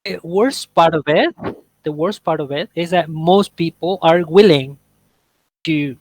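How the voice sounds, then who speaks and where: A man's voice: a little high, measured pace, no room sound, poor audio. Upbeat Spanish-native man leading practice. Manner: eager explainer, builds points with everyday examples. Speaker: workshop leader. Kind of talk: casual conversation practice in English.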